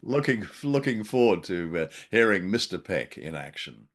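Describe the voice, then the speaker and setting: A man hosting a podcast in casual, halting chat. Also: low-pitched, very animated, over music. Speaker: podcast host.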